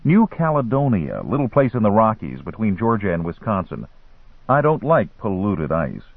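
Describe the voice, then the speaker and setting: A man's voice: on the low side, very animated, normal speed, dry recording. Man playing a wry, guarded tourist, narrow radio sound. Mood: evasive, dry.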